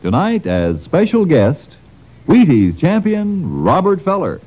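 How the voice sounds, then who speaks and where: Very animated male radio pitchman. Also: takes it slowly, slightly rough. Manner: emphatic, direct address. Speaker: commercial announcer. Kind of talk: 1950s radio sales pitch. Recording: dull old radio broadcast.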